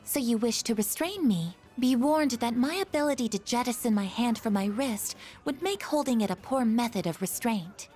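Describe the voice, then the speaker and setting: A woman's voice: fairly high pitch, expressive, moderate pace, few pauses, darkish tone, faint music behind, close to an everyday voice. A woman voicing a polite, literal-minded young female android in a video game, speaking in formal, precise, stilted sentences. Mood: earnest, curious.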